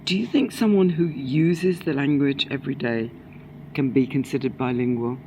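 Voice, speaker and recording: A woman interviewing a guest, asking structured questions in a measured way with short prompts. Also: rumbling low, dark tone, a little hiss or hum. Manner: measured, steers with short prompts and back-channel murmurs.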